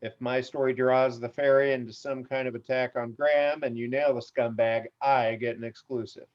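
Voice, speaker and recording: A man's voice: medium pitch, little pitch movement, normal speed, natural voice. A man playing a slippery, smug tabloid reporter in a screenplay table read. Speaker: amateur actor in an online table read. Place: remote video call. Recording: dull video call audio.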